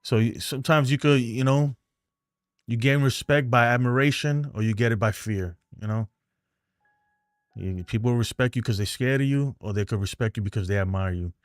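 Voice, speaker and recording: A man's voice: medium pitch, moderate pace, dry recording, clean studio sound. A man with a New York accent hosting a fan live stream, chatting loosely and rambling as he answers viewer comments. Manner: rambling, talkative, loose and frank.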